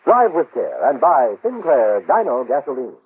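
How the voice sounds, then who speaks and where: A man's voice: mid-range voice, sing-song, moderate pace. An American man announcing from a script in the style of 1960s radio. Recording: narrow-band, noisy old radio broadcast.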